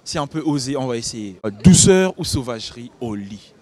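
A man's voice: medium pitch, lively intonation, darkish tone. A young man holding a handheld mic, teasing passers-by with cheeky questions on a busy city street. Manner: playful, joking, quick follow-ups. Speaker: street interviewer. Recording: street noise behind.

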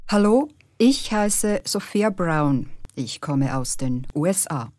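A woman reading short German practice sentences slowly and clearly for learners. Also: sits low, lively intonation, a little mellow, dry recording, studio quality. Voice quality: clear, careful pronunciation. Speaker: language course speaker. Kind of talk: slow scripted language drill.

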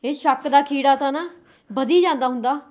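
A worried middle-aged mother speaks, voiced by a woman. Her voice sits high, and she swings scolding to gentle coaxing as she tries to keep her family together. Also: fairly quick, highs cut off, close to an everyday voice. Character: worried middle-aged mother caught between son and daughter-in-law.

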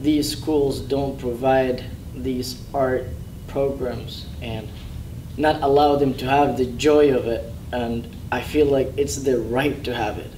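A man's voice: mid-range voice, dark tone, a little hiss or hum. A man reflecting sincerely on his theatre work with kids. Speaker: theatre performer. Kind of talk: interview reflection. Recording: echoey room from a distance.

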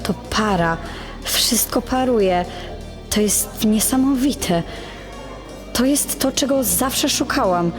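A woman's voice: a little high, animated, takes it slowly, pauses often. A young actress playing an insecure teenage girl who narrates her inner thoughts as a confession in an audio drama. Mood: dreamy, elated. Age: teens to young adult. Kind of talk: introspective first-person dramatic narration. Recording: music under the voice.

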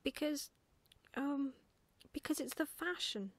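A woman's voice: high-pitched, slow pace, no echo, pitched above the performer's own voice. A woman voicing a proud, bossy little girl in a storybook, explaining things in an emphatic, matter-of-fact way.

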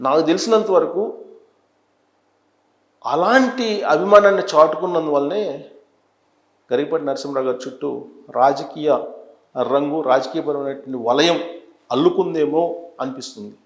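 A man delivers news commentary in a roomy, echoing space. He speaks deliberately and emphatically, repeating phrases to press his point. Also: a little high. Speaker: news analyst presenter. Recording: echoey, roomy sound.

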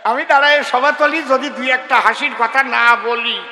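A man's voice: very high-pitched, steady tone, moderate pace, sharp tone. An older man with a rural Bengali accent telling comic folk stories live on stage, earthy and teasing, addressing his audience directly. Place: live performance before a gathering. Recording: echoey live stage sound, faint noise.

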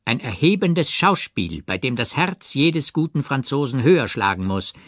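A man's voice: mid-range voice, very animated, normal speed, a bit bright, dry recording, studio quality, voice pushed up. A man doing a mock-solemn, pompous joker doctor. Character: jokey, pompous male doctor shouting puns. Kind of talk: audiobook character reading.

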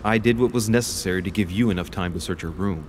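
An actor playing a brisk, sharp-tongued young nobleman in a video game. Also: sits low, slight background noise, close to an everyday voice. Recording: game audio with music behind.

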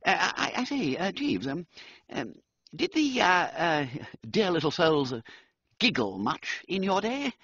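A man voicing a genial, dithery young gentleman who stammers and hesitates through upper-class slang. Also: high-pitched, very animated, a little slow, husky, no room sound, pitched above the performer's own voice, heavily acted. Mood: nervous jolly. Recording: dull, dry, muffled sound.

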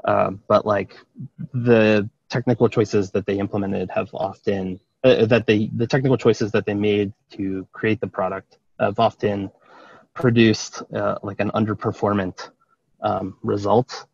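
A young man with a low, gravelly voice speaks on a video call through headphones. He is thoughtful and often corrects himself in mid-sentence. The call audio is dull and lo-fi, with a dry sound. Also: steady tone, measured pace, darkish tone, no echo. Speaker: panelist. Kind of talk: casual video call discussion. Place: outdoors on a deck among trees.

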